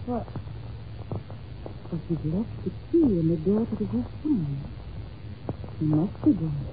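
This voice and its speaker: An actress playing a timid, well-mannered young governess in an old-time radio drama, speaking in a breathless, polite, melodramatic way. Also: female voice, fairly low pitch, sing-song, takes it slowly. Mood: fearful, brooding. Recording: muffled noisy old radio recording.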